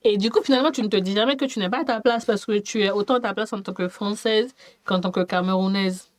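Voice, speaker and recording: A woman hosting a conversational podcast interview, attentive and quick to murmur agreement with her guest. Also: mid-range voice, no echo.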